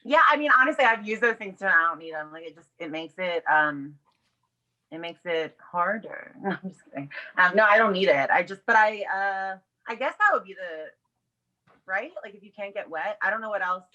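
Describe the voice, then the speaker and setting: A woman's voice: medium pitch, animated, a little fast, bright, forceful tone, lo-fi sound. A woman chatting casually and candidly as a podcast guest, in a brash, playful, foul-mouthed way.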